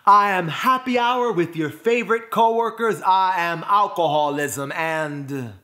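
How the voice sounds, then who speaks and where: A man performing a dramatic poetic monologue, phrasing deliberately and emphatically with pauses for effect. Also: high-pitched, bright, forceful tone. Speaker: spoken word performer.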